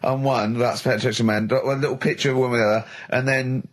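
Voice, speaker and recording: A man's voice: mid-range voice, steady tone, very fast. A man co-hosting a radio show, arguing in casual, teasing comic banter.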